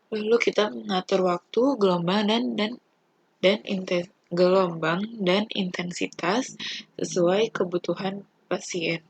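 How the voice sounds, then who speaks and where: A young adult woman, a student giving an instructional presentation in a halting, deliberate, step-by-step way. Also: mid-range voice, steady tone, breathy edge, no room sound, low-quality recording. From Indonesia.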